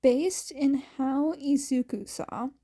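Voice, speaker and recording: A woman's voice: high-pitched, takes it slowly, dark tone. A woman reading a story aloud as scripted, audiobook-style narration. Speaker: audiobook-style fan fiction reader.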